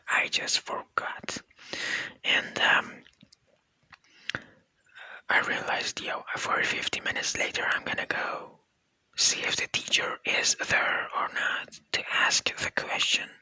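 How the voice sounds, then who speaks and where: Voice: slow pace, long pauses, no room sound, rough recording. A young university student who speaks English as a non-native language, whispering a rambling, laid-back ASMR diary vlog close to the mic. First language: not English; Persian is a second language. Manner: soft unvoiced whisper, rambling and laid-back with many fillers.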